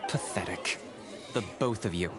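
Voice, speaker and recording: An actor playing a stern, cool-headed, disdainful man in a video game, speaking in a measured, curt way. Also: low-pitched, crowd noise, background noise. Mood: cold disdain. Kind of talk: English video game voice acting.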